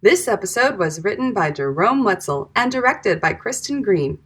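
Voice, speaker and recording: A woman with a husky voice reading scripted credits as the announcer of a radio show. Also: mid-range voice, very animated, moderate pace.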